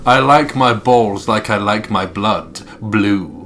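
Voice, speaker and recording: A man with a fairly low voice co-hosts a video game playthrough. He chats and jokes casually and reads entries aloud. There is clear noise in the home recording. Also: slow pace. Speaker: co-host of a let's play channel. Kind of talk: casual joking gameplay chat with read-aloud journal entries.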